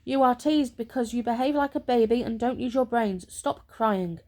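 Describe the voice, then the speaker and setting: A woman's voice: fairly high pitch, moderate pace, a little mellow, close to an everyday voice. A woman voicing a stern, no-nonsense father in a storybook, speaking firmly and solemnly with disapproval.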